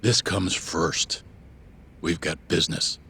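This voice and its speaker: A man's voice: deep voice, steady tone, slow pace, creaky, bright, forceful tone, slight background noise, full character voice. An actor playing a weary older law-office boss in a video game drama, speaking in a stern, blunt, fatherly way. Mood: curt. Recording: background music under the dialogue.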